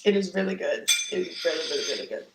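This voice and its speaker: A woman's voice: fairly low pitch, lively intonation, rapid-fire, gravelly, reverberant, noisy background. A woman hosting a casual tarot live stream who chats and laughs while she eats. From Dominican. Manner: chatty and laughing, talks while eating.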